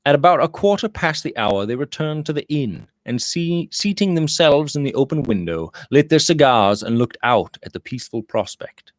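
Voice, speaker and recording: A man reads literary fiction aloud in a medium-pitched voice. His phrasing is deliberate and expressive, as an audiobook narrator's. The sound is dry, with very little room in it. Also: no echo. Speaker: audiobook reader.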